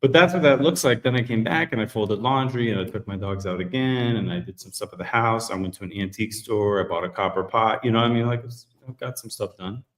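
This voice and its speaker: A man hosting a live men's group talk show, chatting in a relaxed, rambling way. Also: fairly low pitch, fast talker, hardly any pauses, echoey room. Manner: relaxed, rambling, self-correcting. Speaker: host. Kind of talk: casual live chat.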